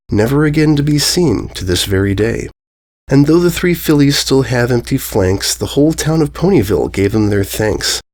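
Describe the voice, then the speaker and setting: A man narrating a rhyming children's story in a measured, singsong cadence. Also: mid-range voice, darkish tone. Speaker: story reader.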